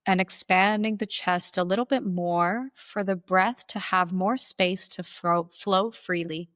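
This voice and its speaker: A woman leading a guided meditation as its guide, speaking in slow, deliberate instructional phrases with pauses. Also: on the low side, fairly bright tone, no room sound, studio quality.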